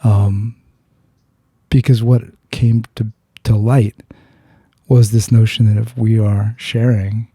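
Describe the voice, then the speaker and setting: A man with a low, gravelly, very dark voice, hosting a podcast and telling a personal story in an earnest, searching way. He restarts his sentences often and throws in blunt swearing. His tone is husky and the sound is close and dry. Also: flat delivery, slow pace, dry recording. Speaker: podcast host. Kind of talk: candid storytelling in conversation.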